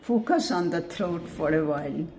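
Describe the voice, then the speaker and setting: A woman leading a scripted guided meditation in a measured, soothing, instructive manner. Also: fairly low pitch, animated, dark tone, slight background noise.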